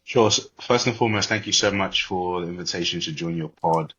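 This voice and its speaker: A British man in his twenties with an extremely deep, husky voice. He speaks as a podcast guest, measured and reflective with rather formal wording. The recording is dull and muffled. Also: pushed and bright. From born in Liberia, grew up in the UK. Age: 20s. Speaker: podcast guest, corporate banker. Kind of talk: reflective interview talk.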